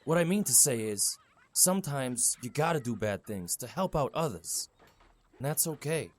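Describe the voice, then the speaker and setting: A man plays a kindly, folksy town mayor. His pitch moves up and down a great deal, and his manner is informal and reassuring, as in an audio drama. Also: animated, normal speed, lightly acted. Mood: somber, consoling.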